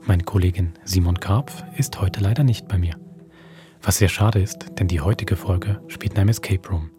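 Male podcast host, low pitch, scripted atmospheric storytelling, clear music bed. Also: normal speed. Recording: music under the voice.

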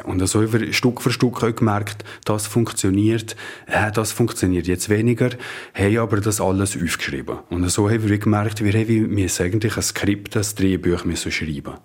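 A man with a Valais Swiss German accent and a husky voice, recounting things spontaneously in an interview. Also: on the low side, darkish tone. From Valais, Switzerland. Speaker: band member and lyricist being interviewed.

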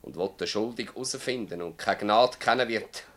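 A man's voice: lowish voice, fairly quick, natural voice. An actor playing an anguished, accusing village man in a radio drama, intense and brooding, with a Swiss German accent. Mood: bitter, hushed. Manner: intense, brooding, swinging between hushed and loud.

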